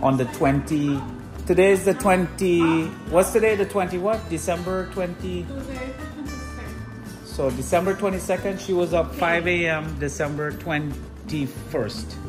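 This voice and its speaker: A man's voice: a little high, a little slow, music in the background. A man hosting a casual vlog, explaining a recipe and often checking in with short tag questions. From Trinidad. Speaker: vlog host. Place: home kitchen.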